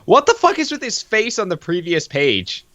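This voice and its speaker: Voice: medium pitch, huge pitch swings, normal speed, sharp tone, highs cut off. A co-host chatting casually and reacting to a webcomic with sarcastic, crude remarks. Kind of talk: casual chat.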